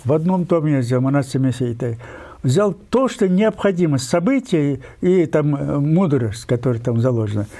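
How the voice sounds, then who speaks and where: High-voiced older man, insistent and digressive. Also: lively intonation, dark tone. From Kyrgyzstan. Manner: insistent, digressive, many pauses and fillers. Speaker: guest writer. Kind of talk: emphatic interview talk.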